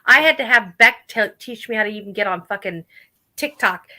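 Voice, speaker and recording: A woman with a high, bright, ringing voice talks in a relaxed group chat. She is blunt and animated, and she swears freely as she goes. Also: brisk. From Oregon.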